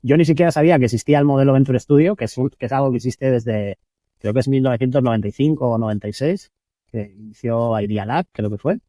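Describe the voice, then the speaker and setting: Mellow Madrid-born man, relaxed storyteller. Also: medium pitch, quick pace, no room sound. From Spain (Madrid). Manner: relaxed, self-correcting, repeats words while thinking. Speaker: guest entrepreneur. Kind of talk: casual interview storytelling.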